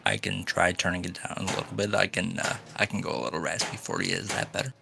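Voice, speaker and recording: A man co-hosting a live game stream over a voice call, naturally loud, joking in a dry way. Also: deep voice, quick pace, gravelly, background noise.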